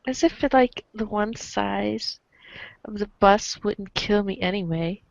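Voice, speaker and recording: A woman playing a sardonic, unimpressed young person in an amateur reader's theater, offhand and deadpan. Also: mid-range voice, unhurried, vocal fry, no room sound. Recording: dull lo-fi home recording.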